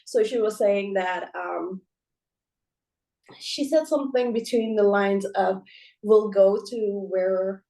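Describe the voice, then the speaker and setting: A woman co-hosting a podcast, speaking in an emphatic, heartfelt way with quick asides and a frequent "right?". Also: medium pitch, warm tone. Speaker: podcast co-host. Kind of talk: casual conversation.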